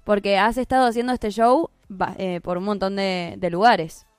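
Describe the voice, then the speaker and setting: A woman's voice: medium pitch, steady tone, moderate pace, clean tone, no echo, clean studio sound, faint music behind. A woman hosting a casual radio interview, chatty, with fillers and restarts as she frames her questions.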